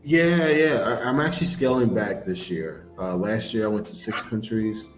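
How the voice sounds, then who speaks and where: Laid-back low-voiced man in an echoey room. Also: normal speed. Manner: relaxed storyteller, restarts with repeated words. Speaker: podcast co-host. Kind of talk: casual chat.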